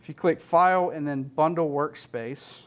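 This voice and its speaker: An American man in his thirties presenting as an audio technician, explaining gear and software in a plain-spoken, matter-of-fact way. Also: on the high side, normal speed. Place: indoor studio stage with LED wall.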